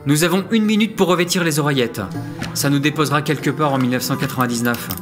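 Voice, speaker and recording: An actor playing a bumbling, forgetful captain in a comic audio drama, with a hesitant, self-correcting manner. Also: male voice, fairly high pitch, fast talker, lightly acted. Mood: explanatory, official. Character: bumbling, forgetful male captain of a time mission. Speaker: captain of a time-travel mission. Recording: music behind the voice.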